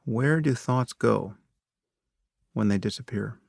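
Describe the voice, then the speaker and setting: A man narrating a guided meditation in a measured, instructive manner, speaking in short phrases with long pauses between them. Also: medium pitch, creaky, dry recording, clean studio sound.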